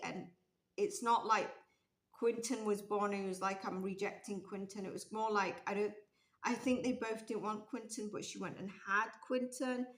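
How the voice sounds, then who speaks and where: A woman's voice: medium pitch, fairly bright tone. Rambling woman reading tarot. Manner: musing, rambling, many ums and restarts. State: losing her voice. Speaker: tarot reader. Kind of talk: casual tarot reading commentary. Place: indoors at a table with candle and cards. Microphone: overhead camera, hands only. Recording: roomy echo.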